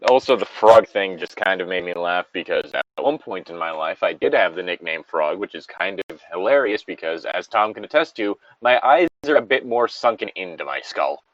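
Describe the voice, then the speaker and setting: A man's voice: low-pitched, lively intonation, moderate pace, few pauses, no echo, muffled recording. A man giving casual, rambling and blunt commentary on a story, with frequent swearing. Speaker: podcast host reading and commenting on a story.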